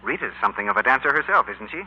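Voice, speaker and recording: A man announces in scripted 1940s radio sales style. His mid-pitched voice has a husky grain and lively intonation, through a dull old broadcast. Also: very fast.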